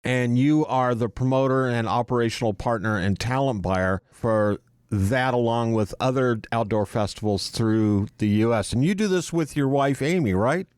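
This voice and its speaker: A man's voice: medium pitch, moderate pace, darkish tone, clean tone, dry recording, clean studio sound. A man hosting a music business podcast, introducing his guest with chatty banter. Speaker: podcast host. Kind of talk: interview hosting.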